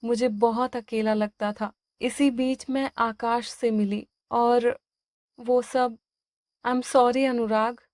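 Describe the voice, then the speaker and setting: A woman's voice: a little high, fairly even intonation, slow pace, a little mellow, dry recording, studio quality. A woman voicing a guilt-ridden young bride in an audio story, pleading and apologetic.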